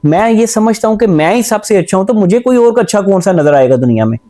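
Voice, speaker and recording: A man with a high voice hosts a relaxed sit-down talk. He speaks with energy and keeps his guest going with pointed follow-up questions. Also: fast. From Pakistan. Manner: animated, asks probing questions. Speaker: host and English teacher. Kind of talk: conversational interview.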